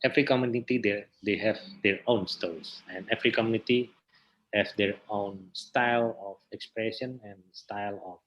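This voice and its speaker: A man with an Indonesian accent speaks as a guest in a casual interview. His voice sits on the low side. He builds sentences haltingly, repeating words before moving on. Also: fairly even intonation, a bit bright, dull audio. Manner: halting, repeats words, non-native English. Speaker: interview guest, theater researcher and actor.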